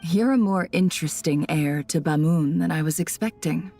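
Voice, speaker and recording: Game role: guarded female intel broker, cool, teasing, slightly low voice, music underneath. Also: normal speed, warm tone. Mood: cool, probing. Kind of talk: video game voice acting.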